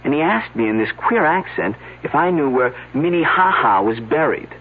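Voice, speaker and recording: A man's voice: fairly high pitch, lively intonation, moderate pace, breathy edge. An actor playing an earnest young man working at a dig, who stammers when flustered. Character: earnest young male dig worker, eager and a bit flustered. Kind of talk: 1970s radio drama acting. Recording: tinny old radio broadcast.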